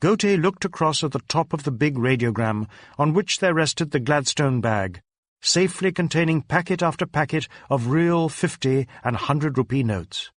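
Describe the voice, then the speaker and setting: A man reads a crime story as dramatic narration. His fairly high voice moves widely in pitch and is recorded very dry and close. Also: lively intonation, moderate pace, dry recording. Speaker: audiobook narrator.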